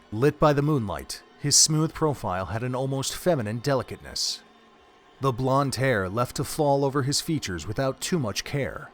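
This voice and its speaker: A man giving scripted story narration for an audio drama in a measured, even way. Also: mid-range voice.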